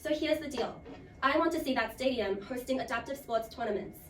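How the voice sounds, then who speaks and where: A woman's voice: high voice, a little fast. A woman hosting a student role play like a game show, with a playful, jokey, theatrical scripted delivery. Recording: roomy, distant mic, loud music.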